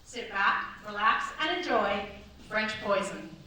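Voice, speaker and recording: A woman's voice: mid-range voice, expressive, measured pace. A woman host making live announcements from a stage to an audience. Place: theatre stage before an audience. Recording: very echoey hall, distant, loud crowd, noisy.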